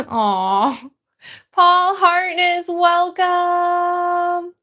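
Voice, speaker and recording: A woman's voice: extremely high pitch, extremely slow, muffled recording. An American woman hosting a livestream in a casual, friendly and encouraging way, chatting with viewers and reading comments aloud. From United States. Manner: friendly, encouraging, greets people by name. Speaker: livestream host.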